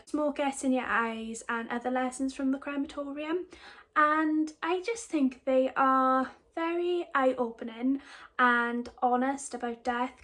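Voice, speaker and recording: A young woman with a Welsh accent, chatting casually and rambling a little as she shows off a book haul. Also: high-pitched. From Wales. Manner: chatty, rambling, giggly asides. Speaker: book vlogger.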